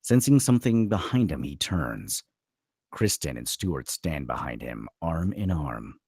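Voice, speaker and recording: A man's voice: extremely low pitch, sing-song, unhurried, darkish tone, breathy edge, dry recording, clean studio sound. A man reading stage directions as dramatic scripted narration at a screenplay table read.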